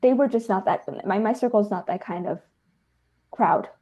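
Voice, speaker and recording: Mellow New woman, slightly dull audio. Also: medium pitch, normal speed. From New Jersey, United States. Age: 30s. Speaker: filmmaker and dancer being interviewed. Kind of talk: casual, conversational interview.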